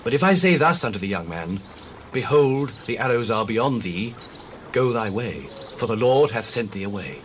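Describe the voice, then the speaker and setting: A man plays a devoted, noble prince in dramatized scripture. His mid-pitched voice swings widely with solemn, emphatic oratory, over faint noise and birdsong. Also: animated, normal speed, lightly acted. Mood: earnest, measured. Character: loyal, earnest prince, devoted sworn friend. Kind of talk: dramatized Bible audio acting.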